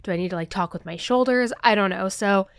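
A woman hosting a true crime podcast in a casual, animated way, a little nasal from being under the weather. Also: medium pitch, fast, vocal fry, clean tone, no echo, clean studio sound. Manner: animated, talks with hands, lots of "like". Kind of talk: casual chatty podcast storytelling. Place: new office studio set. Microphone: podcast microphone.

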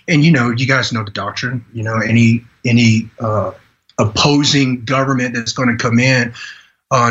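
Podcast guest, male, 40s, from Vietnam. Earnest storyteller who restarts often. Sharp tone, muffled sound. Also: mid-range voice. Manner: earnest, halting delivery with frequent "you know" restarts. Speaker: podcast guest, retired Green Beret. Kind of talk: personal storytelling in a podcast interview.

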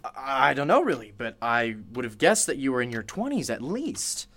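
A man plays a young male radio interviewer in an audio drama. His delivery swings widely in pitch and sounds eager and inquisitive. The phrasing is conversational, with small hesitations. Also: very animated, normal speed, a bit bright. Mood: surprised, tactful.

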